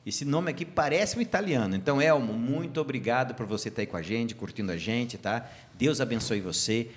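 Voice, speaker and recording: A man's voice: mid-range voice, lively intonation, brisk. A man from Brazil leading a Sabbath school lesson on a church channel, chatty and joking with his co-hosts. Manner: chatty and warm, sending greetings and joking. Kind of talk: casual Bible study chat.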